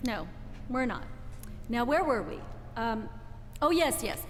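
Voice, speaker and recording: Woman as a high-voiced brisk head angel. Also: sing-song. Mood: brisk, patient. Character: brisk, patient supervising angel. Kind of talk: 1940s radio play acting and storytelling. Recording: reverberant live hall, faint noise.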